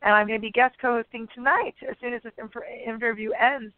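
A woman chats at length as an interview guest, rambling with plenty of "like" and "you know". Her voice has a slight rasp today. The sound is dry and squeezed thin like a phone call. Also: on the high side, fairly even intonation, fast, dry recording. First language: English. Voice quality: a bit raspy today. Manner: chatty, rambling with many fillers. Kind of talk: casual interview chat. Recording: phone-line radio sound.

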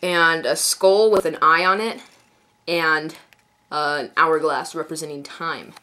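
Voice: medium pitch, steady tone, unhurried, sharp tone. A young adult with a stuffy, congested voice from a cold, giving a casual unscripted review in a rambling, self-correcting way. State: mild head cold. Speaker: card deck reviewer. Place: indoors at a card table.

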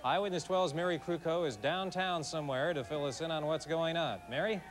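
A male news anchor in a studio smoothly introducing a live street report. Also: a little high, brisk, noisy background.

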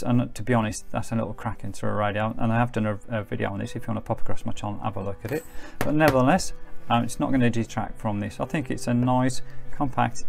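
A man's voice: medium pitch, creaky. A man giving a casual, chatty review of a small radio at a table indoors. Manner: chatty, relaxed, says "pop it" and "to be honest".

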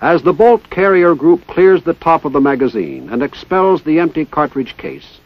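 Lively-pitched man narrating a vintage training film. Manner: formal, precise, measured enunciation. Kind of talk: 1960s instructional film narration, scripted. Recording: dull 1960s training film soundtrack.

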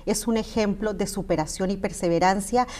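Brisk, encouraging woman talk show host. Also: medium pitch, darkish tone. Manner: brisk, encouraging, many affirming ujús. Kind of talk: TV studio interview. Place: small TV studio. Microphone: lapel mic.

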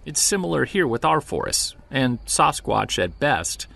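A man's voice: medium pitch, sing-song, unhurried, vocal fry, bright, forceful tone, dry recording, full character voice. A man playing an earnest, wry, self-deprecating amateur monster hunter in a naturalistic audio drama. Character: driven, self-deprecating male amateur Bigfoot investigator.

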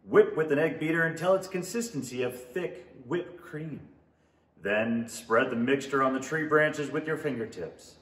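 A man's voice: medium pitch, fairly bright tone, lightly acted. A man playing a polished, upbeat 1930s radio announcer reading a script. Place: theatre stage. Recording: roomy theatre stage.

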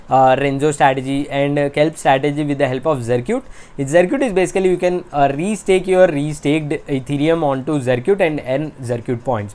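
A man with an Indian accent narrates a desktop screen recording as a channel host. He walks through each step in a plain, instructional way, pausing often on filler sounds as he goes. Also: on the high side, a little mellow. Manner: step-by-step explanatory, frequent filler hesitations. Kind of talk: screen-recorded crypto tutorial. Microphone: voice-over on desktop screen capture.

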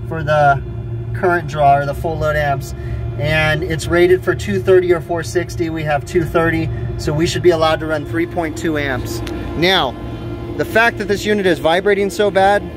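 A man's voice: fairly high pitch, steady tone, moderate pace, over music. A male HVAC technician walking through a repair outdoors on a rooftop, talking casually and joking as he works. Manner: casual, joking, thinks aloud. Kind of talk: hands-on repair walkthrough. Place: outdoors on a rooftop by a fan unit. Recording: loud machinery noise behind.

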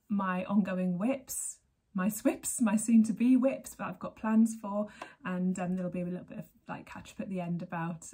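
A woman's voice: mid-range voice, dark tone, husky. A woman in her thirties from England, chatting casually to camera as host of a knitting podcast from her living room, rambling and laughing often. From Berkshire, England. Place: sunny living room at home. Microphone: camera at desk distance.

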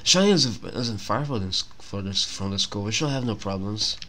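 A man's voice: fairly low pitch, animated, normal speed, a bit bright, slight background noise. A young man hosting a gaming video, chatting casually and informally with a self-deprecating manner. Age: young adult. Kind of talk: casual game commentary, reading dialogue aloud. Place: small room at home. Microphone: handheld microphone.

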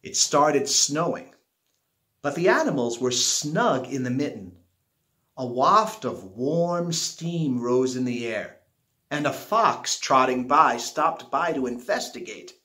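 A man's voice: medium pitch, animated, slow, bright, forceful tone. A middle-aged man reading a children's picture book aloud at home, stressing and drawing out key words as a storyteller. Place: small living room at home, indoors. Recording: roomy, echoey home recording.

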